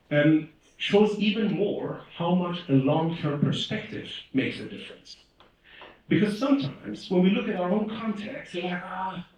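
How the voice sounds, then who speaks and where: A man from Iran giving a motivational storytelling talk at a conference, emphatic and animated, often acting out dialogue and checking in with his listeners. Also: on the high side. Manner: animated, emphatic, acts out quoted dialogue, frequently checks in with "right?". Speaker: conference speaker, leadership trainer. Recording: very echoey hall, distant microphone.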